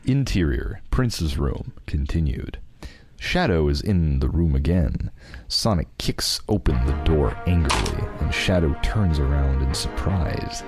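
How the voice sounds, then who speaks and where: Deep-voiced man narrating at a measured pace. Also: big pitch swings, a little mellow, soft background music. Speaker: narrator of a group fan-fiction reading. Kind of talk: script narration mixed with casual joking.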